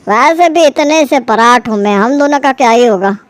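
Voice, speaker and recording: Woman playing middle-aged cartoon father, fairly high voice, jovial, hearty, food-loving, dry close sound. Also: lively intonation, normal speed, dry recording, lightly acted. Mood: reassuring.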